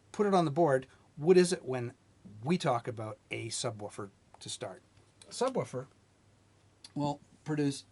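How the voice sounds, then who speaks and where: A middle-aged man, an engineering manager, giving a measured technical lesson at a whiteboard. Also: medium pitch, a little mellow. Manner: plain, measured teaching delivery with light restarts. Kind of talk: explaining at a whiteboard.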